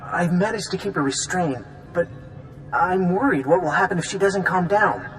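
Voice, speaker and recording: A man's voice: high voice, moderate pace, fairly bright tone, soft background music, low-quality recording. An actor playing an anxious, courteous male embassy official in a video game, earnest and pleading in manner. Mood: worried.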